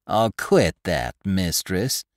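A man's voice: deep voice, very animated, takes it slowly, no echo, clean studio sound, heavily acted. A man voicing a boastful middle-aged gingerbread seller in an audiobook, speaking in a bragging, indignant way.

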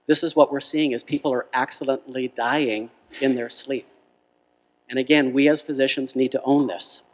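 Male, middle-aged, medium pitch. Doctor addressing an audience, earnest and explanatory, long hall reverb on a handheld mic. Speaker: physician speaking as health plan medical officer. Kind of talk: public health presentation to an audience. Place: indoor function room at a lectern. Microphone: handheld microphone through room.

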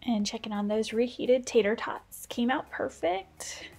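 A woman's voice: fairly high pitch, unhurried, vocal fry, a little mellow, breathy edge, soft background music. A woman in her thirties, a stay-at-home mom, giving a casual, practical voice-over as she cooks and shares kitchen tips. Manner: chatty, practical, explains tips as she goes.

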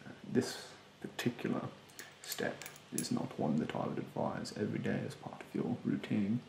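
Man playing a creaky-voiced, snobbish spa therapist. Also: lowish voice, animated, normal speed, roomy sound, noisy background. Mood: fussy, instructive. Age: young adult. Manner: hesitant, condescending, haughtily polite. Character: rude, snobbish, fastidious male facial-treatment therapist. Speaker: ASMR roleplayer. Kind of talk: soft-spoken, half-whispered ASMR roleplay. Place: bedroom, indoors. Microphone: close mic in front.

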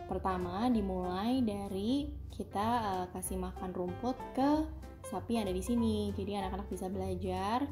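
Woman, mid-range pitch, casual vlog narration, noticeable background music. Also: normal speed. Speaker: family vlogger narrating. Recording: music behind the voice. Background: clear background music.

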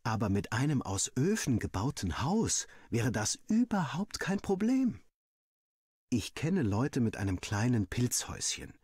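A man reading a first-person story like an audiobook, expressive and playful with boyish indignation. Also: mid-range voice, a little slow, slightly rough, no room sound, clean studio sound. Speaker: story narrator. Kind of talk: animated first-person scripted narration, like an audiobook.

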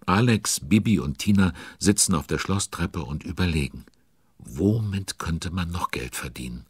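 A deep-voiced avuncular narrator of a children's radio play. His voice is husky and recorded very dry, and he tells the tale in a chatty, involved way. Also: expressive, normal speed, no room sound. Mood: involved, concerned. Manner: chatty, involved storyteller commenting on events. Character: avuncular, concerned male storyteller of a children's tale.

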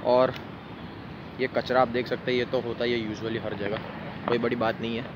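A man's voice: mid-range voice, flat delivery, normal speed, muffled recording. A young man from India narrating a casual vlog to a phone camera held at arm's length outdoors, joking with his friends. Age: young adult. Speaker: vlogger. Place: outdoors on a city street and riverfront. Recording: noisy outdoor phone recording. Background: traffic and street noise.

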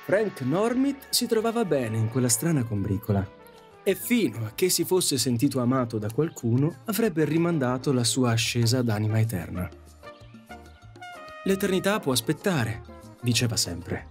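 A man's voice: medium pitch, darkish tone. A man reading scripted narration as the storyteller of an audio drama.

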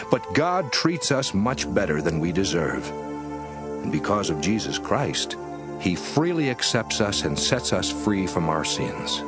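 A man reading scripture as a scripted audiobook, with an emphatic, measured and rhetorical delivery. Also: on the low side. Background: music under the voice.

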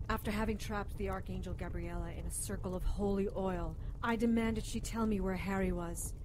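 An actress playing a sharp-tongued, assertive woman doctor who narrates a radio drama. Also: medium pitch, fairly even intonation, a little fast, slight background noise, close to an everyday voice. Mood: tense narration. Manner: challenging, assertive.

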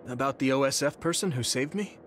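An actor playing an earnest, polite, slightly awkward young soldier in an anime-style video game, speaking hesitantly. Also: male voice, medium pitch, brisk, faint music behind, lightly acted.

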